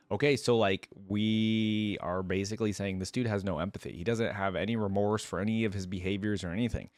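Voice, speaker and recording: Male reaction host, lowish pitch, informal think-aloud delivery, close dry sound. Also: dry recording. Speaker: host of a game reaction video. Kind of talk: casual reaction commentary, reading aloud and commenting.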